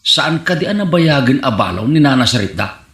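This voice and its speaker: An actor playing a consoling, bashful village buddy with a Filipino accent in a comedy radio drama. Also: male voice, medium pitch, big pitch swings, a little fast, a bit bright. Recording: roomy echo, faint music.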